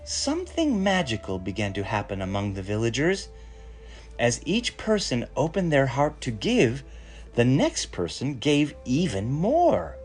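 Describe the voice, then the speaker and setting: Male storybook reader, slow measured delivery, very lively pitch movement, music behind the voice. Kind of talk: expressive storybook narration.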